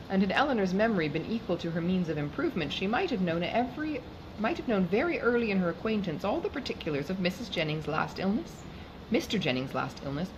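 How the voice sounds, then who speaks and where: A woman reading a classic novel aloud in a measured, formal way, as an audiobook narrator. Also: on the low side, muffled recording. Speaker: audiobook reader. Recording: clearly noisy background.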